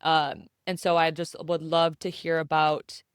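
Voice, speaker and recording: A woman's voice: low-pitched, level tone, measured pace, clear, resonant tone, dry recording, clean studio sound. A young woman hosting a podcast in casual chat, rambling with lots of fillers and the odd swear word. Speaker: podcast host.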